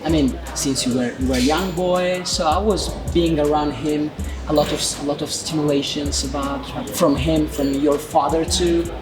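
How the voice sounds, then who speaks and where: A man conducting an informal sports interview in halting, repetitive English. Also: a little high, steady tone. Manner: halting, repetitive phrasing in non-native English. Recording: music playing behind the voice. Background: background music.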